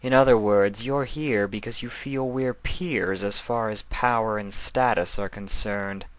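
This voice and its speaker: A man voicing a stern, haughty female dark alicorn villain in a storybook reading, with a dry, sardonic manner. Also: on the low side, fairly even intonation, measured pace, no room sound, pitched above the performer's own voice, natural voice. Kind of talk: fan fiction character reading.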